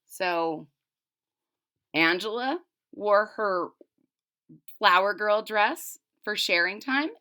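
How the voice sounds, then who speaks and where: A woman's voice: medium pitch, drawn-out words, pauses often, pushed and bright, clear, resonant tone. A woman reading a children's storybook aloud expressively and explaining it to young listeners in asides. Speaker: storybook reader.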